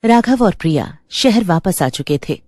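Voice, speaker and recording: Low-voiced woman narrating horror. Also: lively intonation, a little slow, a little mellow, no echo, clean studio sound. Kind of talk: scripted dramatic horror narration.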